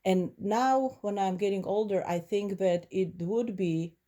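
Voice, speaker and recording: A woman's voice: medium pitch, a little slow, dark tone. A woman in her forties from Poland, speaking English with a Polish accent, giving earnest, reflective answers in an interview. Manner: earnest, reflective, slightly non-native phrasing. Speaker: interviewee, first-generation immigrant.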